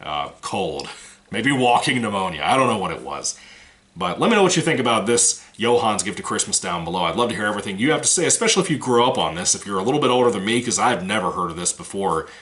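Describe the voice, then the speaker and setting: Rambling man with a lively, bright voice. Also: on the low side, fast. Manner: rambling, frank, swears casually. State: voice still recovering from a cold. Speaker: movie reviewer. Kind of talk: casual spoken review.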